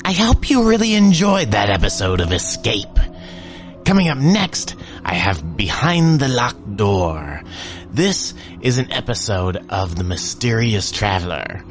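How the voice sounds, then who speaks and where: A man's voice: lowish voice, big pitch swings, measured pace, soft background music. A man hosting a podcast, introducing an old radio episode in an upbeat, explanatory way. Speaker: podcast host.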